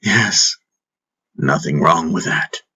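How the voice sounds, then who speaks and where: A man voicing a wise spiritual master in an audiobook, teasing and patient, teaching through probing questions in a husky voice. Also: extremely low pitch, slow pace, pushed and bright, exaggerated voice. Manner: instructive, rhetorical questioning with prompting hums. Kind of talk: audiobook character dialogue.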